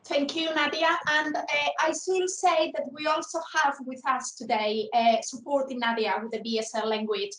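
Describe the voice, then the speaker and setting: A woman's voice: sits high, fairly even intonation, normal speed, few pauses, recorded from a distance. A woman chairs a panel discussion on a video call, speaking hesitantly with frequent fillers. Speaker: session chair. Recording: roomy echo, away from the mic.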